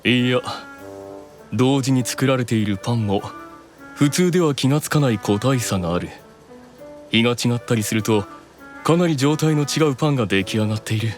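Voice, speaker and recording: An actor playing a proud, keenly observant demon eldest brother in an anime audio drama, explaining things with deliberate authority. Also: male voice, medium pitch, faint music behind, close to an everyday voice. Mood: composed explaining.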